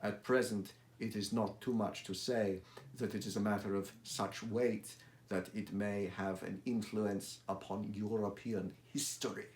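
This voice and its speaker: A man voicing an imposing, haughty masked male nobleman who speaks formally in a deep, harsh voice with a German accent. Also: steady tone, measured pace, a little mellow, natural voice. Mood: grave, formal. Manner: formal, stately. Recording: echoey room.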